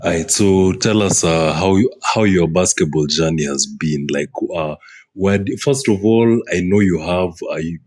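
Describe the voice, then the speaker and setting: Male podcast host, young, low voice, hyped and repetitive. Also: moderate pace. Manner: excitable, repeats phrases for emphasis. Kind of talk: casual podcast chat.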